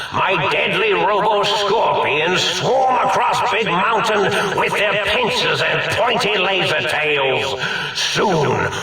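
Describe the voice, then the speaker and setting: Echoing, doddering old villain. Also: male voice, sits high, sharp tone, exaggerated voice. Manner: grandiose, halting, menacing drawl. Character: doddering old villain scientist, grandiose and scatterbrained. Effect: echoing radio transmission. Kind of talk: theatrical villain broadcast, video game acting. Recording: echoey, distant broadcast transmission.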